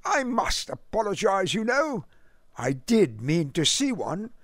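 A man's voice: sits high, sing-song, slow pace, fairly bright tone, dry recording, studio quality, voice pushed up, exaggerated voice. A man voicing a naive, delighted young upper-crust Englishman, speaking with a lofty, clipped upper-class British accent and very polite, wordy phrasing. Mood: chirpy, courteous.

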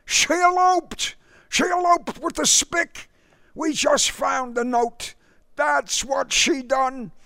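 A man voicing an enraged older father who shouts and chokes on his words. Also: very high voice, steady tone, takes it slowly, creaky, no room sound, studio quality, pitched above the performer's own voice, heavily acted.

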